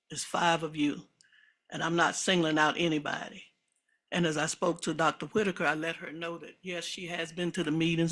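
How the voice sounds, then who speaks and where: A woman with a loud voice, making an earnest, emphatic public comment at a county meeting, with a slight frog in her throat. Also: sits low. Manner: earnest, emphatic, reads aloud from notes.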